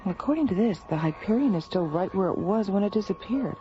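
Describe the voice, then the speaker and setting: An actress playing a precise, businesslike space scientist in a radio drama. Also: female voice, medium pitch, expressive, quick pace, noisy background, lightly acted. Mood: serious, concerned. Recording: dull vintage radio recording.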